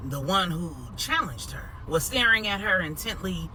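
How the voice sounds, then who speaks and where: A woman narrating a story for adults in a dramatic, deliberate way, with measured phrasing. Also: fairly low pitch, lively intonation, bright, forceful tone, a little hiss or hum, low-quality recording. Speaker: storyteller, host.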